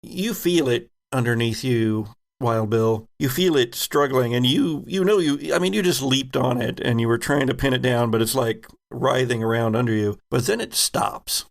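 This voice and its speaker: A man runs a horror tabletop game in a mid-pitched voice with some fry. He feels his way through vivid scene descriptions, pausing and picking up again as game master.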